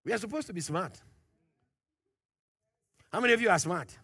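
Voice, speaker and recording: A man preaching a sermon, pressing his points with questions to the congregation, loud at the peaks and dropping low and quiet at phrase ends. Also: lively intonation, normal speed, creaky, slightly rough, no echo, studio quality. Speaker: pastor.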